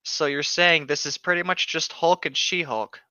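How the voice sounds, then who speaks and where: Bright, higher-voiced sardonic man, slightly muffled mic. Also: steady tone, moderate pace, smooth, dry recording. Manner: joking, mock-exasperated, sardonic. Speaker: co-host reacting to online horror fiction. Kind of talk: casual banter and read-aloud.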